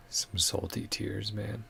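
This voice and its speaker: A man giving quiet, casual reaction commentary as the host of a gaming video, in a low voice. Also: creaky, a little hiss or hum.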